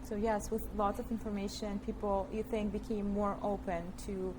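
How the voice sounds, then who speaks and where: A woman's voice: medium pitch, flat delivery, moderate pace. A woman interviewer speaking casually and somewhat hesitantly, with short prompts and questions. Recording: clear background noise and room ambience.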